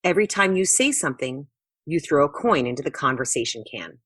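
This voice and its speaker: Woman reading as an expressive young girl, Kayla. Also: low-pitched, brisk, breathy edge, no room sound, studio quality, heavily acted. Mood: eager, explaining. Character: Kayla, young girl, bright organiser of games.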